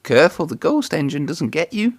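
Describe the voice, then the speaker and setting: A man voicing a cheeky, boastful, excitable young steam engine in a children's storybook. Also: fairly high pitch, brisk, dry recording, voice pushed up. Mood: teasing. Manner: bold, excitable.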